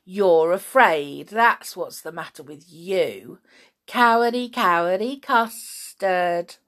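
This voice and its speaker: A woman's voice: on the high side, big pitch swings, takes it slowly, fairly bright tone, voice pushed up, exaggerated voice. A woman voicing a cocky older boy in a children's story, mocking and scornful with a sing-song jeer. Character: cocky, taunting older boy from a rival village.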